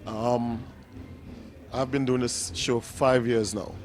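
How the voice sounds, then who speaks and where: A man's voice: medium pitch, steady tone, slow, darkish tone, a little hiss or hum. A man hosting an interview show, asking enthusiastic, rambling questions full of fillers. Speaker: interview host.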